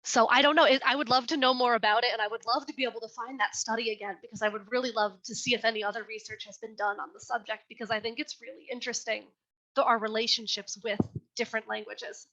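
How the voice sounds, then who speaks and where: A young woman reading her poem slowly and with care, then answering questions in an earnest, chatty way over a video call. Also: fairly high pitch, fairly even intonation, pushed and bright. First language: English. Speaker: poet reading her work. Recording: dull lo-fi video call audio.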